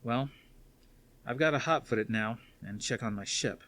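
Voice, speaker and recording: A man's voice: medium pitch, normal speed, a bit bright, natural voice. A man voicing an earnest, frustrated young pilot, the commander's son, in a story reading. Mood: brisk.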